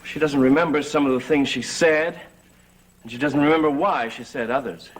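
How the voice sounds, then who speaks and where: An actor playing a grave, earnest man investigating dark powers in a gothic soap opera, speaking deliberately. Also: a little high, steady tone, lightly acted. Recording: vintage TV soundtrack with music.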